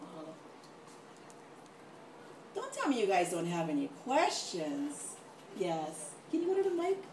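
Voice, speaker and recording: A middle-aged woman speaking informally as a doctor on a panel, telling anecdotes in an animated way and acting out what people said. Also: fairly low pitch, unhurried. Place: large echoey room, event with audience. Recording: echoey, distant mic, noisy room.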